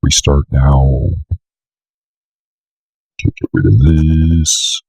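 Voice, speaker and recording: A young man giving a casual, unscripted software walkthrough, offhandedly muttering to himself as he works, with a gravelly, raspy voice. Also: rumbling low, steady tone, very slow, no echo, faint music behind. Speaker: tutorial host.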